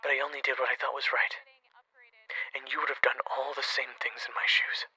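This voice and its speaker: A man playing a defensive, pleading criminal brother in an audio drama, husky and earnest, through a phone-call filter. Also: medium pitch, level tone, brisk, sharp tone. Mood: defensive, regretful. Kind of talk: audio roleplay acting.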